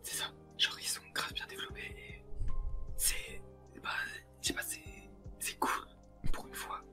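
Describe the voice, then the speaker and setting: A young adult whispers a casual anime review as co-host, using informal youth slang. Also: unhurried. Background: music.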